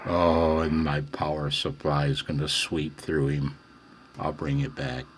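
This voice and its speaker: A man with a very low voice talks at an unhurried, slow pace, like a hobbyist walking through his gear. His delivery is casual and unscripted. Clear background noise runs behind him in what sounds like a home radio room. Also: level tone. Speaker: amateur radio hobbyist demonstrating antennas. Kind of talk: casual unscripted demonstration commentary. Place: indoors at a home radio station.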